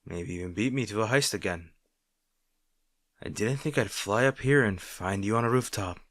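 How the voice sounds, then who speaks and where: A man acts out a male supervillain rival in an audio roleplay, speaking straight to the listener. His voice sits low and is recorded very dry, with no room around it. He is sardonic and teasing, with a hidden caring streak that makes him halting when flustered. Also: a bit bright, no room sound, lightly acted. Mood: taunting. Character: snarky male supervillain rival, secretly worried about his hero. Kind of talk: dramatic roleplay monologue addressed to the listener.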